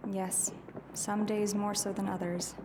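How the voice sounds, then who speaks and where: A woman's voice: medium pitch, little pitch movement, a little slow, noisy background, natural voice. An actress playing a worried, weary young mother in a fantasy audio drama. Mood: wistful, worried. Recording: loud background ambience.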